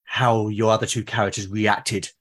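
A man's voice: lowish voice, flat delivery, moderate pace, a bit bright. A man with a British accent chatting casually and earnestly as a podcast guest. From Britain. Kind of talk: casual conversation.

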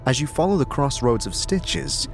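A man narrating a scripted audio drama in the second person, with measured, descriptive storytelling. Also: mid-range voice, expressive. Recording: music under the voice.

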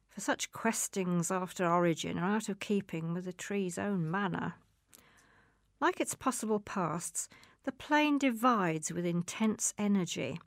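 Lady reading a magazine article out loud, bit of fry in the voice, dry close sound. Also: medium pitch, no room sound. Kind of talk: literary article read aloud.

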